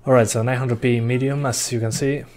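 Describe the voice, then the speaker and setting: A man's voice: mid-range voice, steady tone, brisk, darkish tone. A young man from Uruguay, chatting casually while streaming a game and reading chat aloud. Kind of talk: live gameplay commentary. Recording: faint gunfire behind voice.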